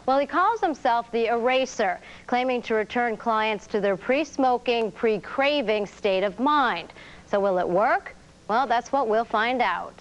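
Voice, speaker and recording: A woman's voice: on the high side, animated, highs cut off. Woman reporter presenting live. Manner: brisk on-air delivery. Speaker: TV news reporter.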